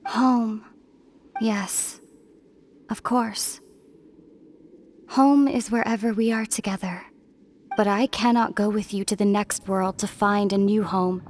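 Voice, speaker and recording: A woman playing a solemn young princess and long-lost sister in a dramatic video game, grave and deliberate with sorrowful resolve. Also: mid-range voice, fairly even intonation, a little mellow, a little hiss or hum, natural voice. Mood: wistful resolve.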